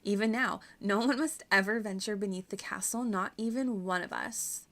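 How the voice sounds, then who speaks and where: An earnest young princess from a video game, read aloud by a woman, speaks at a middle pitch. She is studious and formal, a scholar exploring ancient ruins. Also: moderate pace, fairly bright tone, close to an everyday voice. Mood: solemn.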